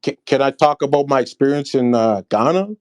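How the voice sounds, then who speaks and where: A man's voice: medium pitch, brisk, darkish tone, dry recording, clean studio sound. A man from Michigan calling in to a show, rambling and hesitant with many fillers. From Michigan, USA. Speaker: caller. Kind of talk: casual call-in chat.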